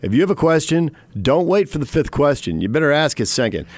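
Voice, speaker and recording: A man's voice: a little high, very animated, fairly quick, darkish tone, no room sound. A male sports radio co-host who defends himself with laughing, playful banter.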